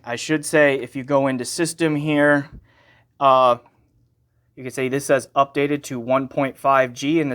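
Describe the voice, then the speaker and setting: A man's voice: medium pitch, a bit bright. A man giving a casual, unscripted product review, talking conversationally and thinking aloud as he corrects himself. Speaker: gear reviewer and channel host.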